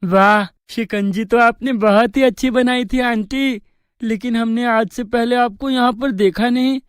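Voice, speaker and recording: A woman's voice: fairly high pitch, steady tone, measured pace, smooth, no echo, clean studio sound, natural voice. A woman voicing a friendly, generous young village boy in a cartoon story, cheerful and polite.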